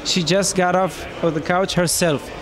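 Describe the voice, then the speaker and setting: A man in his thirties from Romania, speaking English, answering interview questions into a handheld mic in a small room, with halting phrasing and frequent restarts. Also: high-pitched, steady tone, fairly bright tone. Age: 30s. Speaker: marathon runner interviewee.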